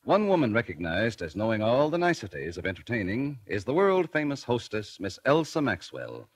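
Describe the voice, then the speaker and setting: A man's voice: mid-range voice, huge pitch swings, normal speed, slightly rough, no echo. A man working as a sponsor's announcer, reading a scripted 1940s radio advertisement.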